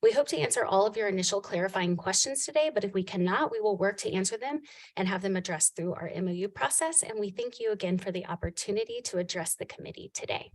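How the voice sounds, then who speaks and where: A soft-spoken woman reading prepared remarks in a formal presentation to a committee, heard on a distant mic in a meeting room. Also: mid-range voice, quick pace. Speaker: program presenter.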